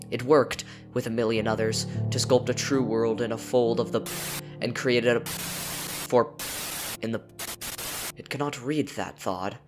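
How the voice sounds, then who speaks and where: Low-voiced man giving a dramatic poem reading. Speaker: narrator. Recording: music behind the voice.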